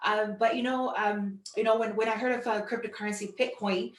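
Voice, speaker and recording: A woman's voice: medium pitch, steady tone. Echoey, distant-miked woman presenting informally. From Samoa. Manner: halting, repetitive, leans on "you know". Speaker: online business presenter. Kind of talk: informal presentation with personal storytelling. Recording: echoey room, distant microphone.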